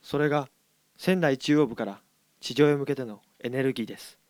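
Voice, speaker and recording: A man's voice: medium pitch, fairly quick, a little mellow, lightly acted. A man playing a tense spaceship crewman who gives clipped, formal reports in an amateur radio drama. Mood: urgent, formal.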